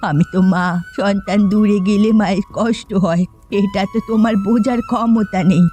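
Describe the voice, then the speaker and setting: A woman's voice: medium pitch, fairly even intonation, normal speed, dark tone, soft background music, close to an everyday voice. A woman voicing a quarrelsome, grieving old village mother-in-law in a cartoon. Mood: bitter, scolding. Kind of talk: Bengali moral-tale cartoon dubbing.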